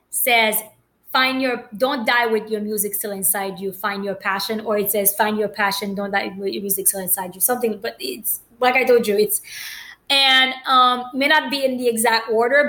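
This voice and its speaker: A woman's voice: fairly high pitch, bright, forceful tone. A woman from Haiti talking casually about her personal journey, rambling with many fillers and restarts.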